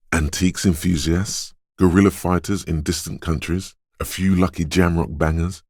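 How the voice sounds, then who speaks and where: Gun-obsessed inner voice from a video game, super deep n husky, deliberate, real dry close recording. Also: male voice, a little mellow, dry recording, full character voice.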